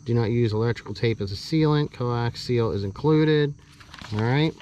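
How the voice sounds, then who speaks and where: A man giving a casual, off-the-cuff unboxing commentary, halting and thinking aloud as he handles parts with a camera in hand. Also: mid-range voice, warm tone. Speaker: hobbyist vlogger doing a product unboxing. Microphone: handheld camera held while working, varying distance. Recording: noisy handheld camera audio, clear background noise.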